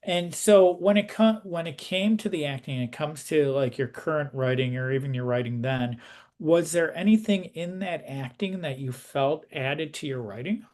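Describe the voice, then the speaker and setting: A man's voice: a little high, normal speed, a little mellow. A middle-aged or older man interviewing someone with casual, exploratory questions.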